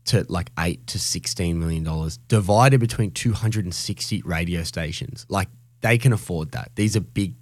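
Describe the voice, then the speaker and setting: A man's voice: sits low, moderate pace, no room sound. An Australian man co-hosting a radio show, giving opinions in blunt, colloquial, emphatic conversation close to a studio mic. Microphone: close studio radio microphone.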